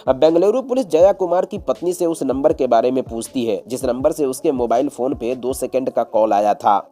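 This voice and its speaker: A man's voice: mid-range voice, lively intonation, a little fast, mellow, faint music behind. A man telling a true-crime story as a scripted narrator, in a dramatic, explanatory storyteller's manner.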